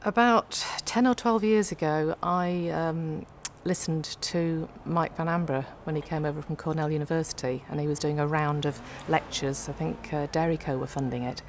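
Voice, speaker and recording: A woman's voice: low-pitched, steady tone, normal speed, few pauses, a little hiss or hum. A middle-aged woman who works as a calf nutrition specialist, explaining practical advice to an off-camera interviewer, with frequent ums, in an indoor farm feed store. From United Kingdom.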